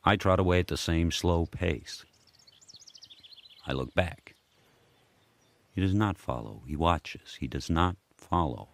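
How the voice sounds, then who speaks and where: An actor voicing a powerful, solitary dog turning wild, telling his story in terse, measured present-tense sentences for a radio drama. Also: sits low, expressive, gravelly, full character voice. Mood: watchful, measured.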